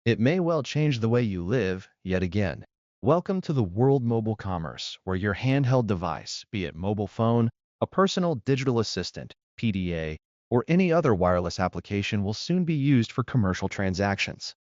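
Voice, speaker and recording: A man's voice: lowish voice, big pitch swings, moderate pace, darkish tone, dry recording. A man reading scripted practice passages aloud. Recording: muffled lo-fi audio.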